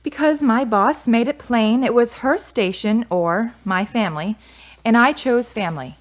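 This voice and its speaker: A woman's voice: fairly high pitch, a little slow, close to an everyday voice. A woman playing a grieving, independent and prickly adult daughter in a radio drama, speaking in a curt, guarded way. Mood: tense, guarded.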